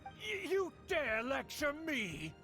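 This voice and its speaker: A man's voice: very high-pitched, huge pitch swings, takes it slowly, bright, forceful tone, faint music behind, full character voice. A man voicing an indignant older noble uncle in a game, pompous and theatrically outraged.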